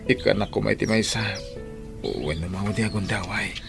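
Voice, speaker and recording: An actor playing a remorseful young father in an Ilocano radio drama, speaking earnestly and haltingly with emotion. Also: lowish voice, vocal fry. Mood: remorseful, pleading. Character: remorseful young father pleading for a second chance. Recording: music bed behind the voice.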